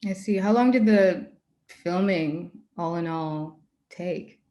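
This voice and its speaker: Echoey, lowish-voiced woman moderating a Q&A. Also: slow pace, mellow. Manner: friendly, curious interviewer. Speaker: festival programmer and moderator. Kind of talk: hosting a recorded Q&A.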